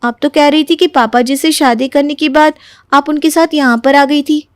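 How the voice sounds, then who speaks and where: Woman acting young cartoon daughter-in-law, quite high voice, pert, aggrieved, dry studio-like sound. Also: fairly even intonation, moderate pace, no echo, natural voice. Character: young exasperated daughter-in-law, dutiful but talking back. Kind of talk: Hindi animated moral story acting.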